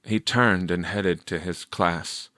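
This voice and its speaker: A man narrating a story from a script in a measured, expressive storytelling manner. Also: low-pitched, no echo, studio quality. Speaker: audiobook narrator.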